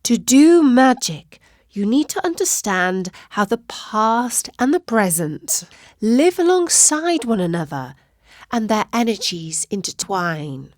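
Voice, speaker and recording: A woman's voice: fairly high pitch, very animated, slow pace, dry recording, clean studio sound. A woman voicing a firm, wise witch mother in a storybook, explaining things emphatically. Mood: persuasive, instructive.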